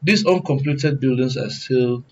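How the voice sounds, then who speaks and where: A man with a Nigerian accent giving serious panel commentary in a deliberate, emphatic, oratorical way. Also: medium pitch, darkish tone, lo-fi sound, a little hiss or hum. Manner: deliberate, emphatic, oratorical, repeats phrases for weight. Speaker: guest commentator, good governance advocate.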